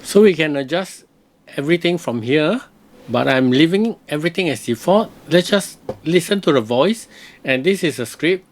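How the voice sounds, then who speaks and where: A man giving casual screen-recorded software review commentary, thinking aloud in simple, hesitant phrases. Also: fairly high pitch, lively intonation, moderate pace, a little hiss or hum.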